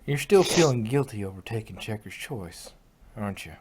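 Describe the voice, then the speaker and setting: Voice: mid-range voice, lively intonation, normal speed, a little mellow, slightly rough, pitched below the performer's own voice. A performer voicing a friendly, plainspoken female country farm pony with a folksy, reassuring way of talking. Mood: comforting.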